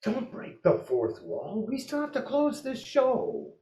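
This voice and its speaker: An actor playing an exasperated 1940s radio announcer who hosts the show in a theatrical way. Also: male voice, very high-pitched, huge pitch swings, a little slow, warm tone, full character voice. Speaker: host. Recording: echoey room with music behind.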